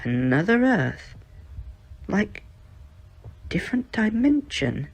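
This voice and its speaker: A man's voice: high-pitched, big pitch swings, slow, voice pushed up, exaggerated voice. A man voicing a young woman, an eager, matter-of-fact survivor in an amateur fan fiction story. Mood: curious, eager.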